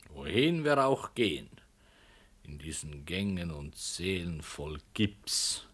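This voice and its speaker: A weary, ironic author in a radio play, with a very low voice. He speaks very slowly and deliberately, setting each phrase apart with a pause. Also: fairly bright tone, slightly rough, full character voice. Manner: deliberate, pausing between phrases. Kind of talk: radio drama acting.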